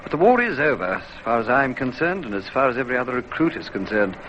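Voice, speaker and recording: An actor playing a stubborn, dreamy young ex-soldier, earnest and insistent, in old radio drama style. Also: male voice, mid-range voice, very animated, fast, a bit bright. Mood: pensive. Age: young adult. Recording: muffled, faintly noisy old radio.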